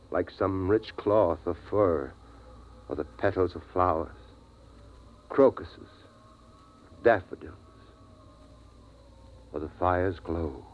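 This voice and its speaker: An actor playing a steadfast, philosophical middle-aged father, speaking in an earnest, measured, dramatic 1950s radio style. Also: low-pitched. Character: steadfast, philosophical father, last man guarding his family. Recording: dull vintage radio recording.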